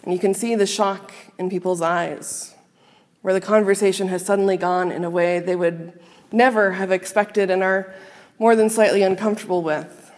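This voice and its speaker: A woman minister giving a sermon to a congregation, speaking in a measured, earnest and reflective way with pauses. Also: medium pitch, fairly even intonation, darkish tone. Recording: long reverb of a large hall.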